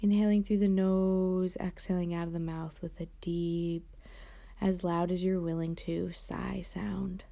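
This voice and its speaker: Woman meditation teacher, soft and unhurried, low warm voice, slightly muffled lo-fi recording. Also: level tone, gravelly. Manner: soft, slow, gentle. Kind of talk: guided meditation.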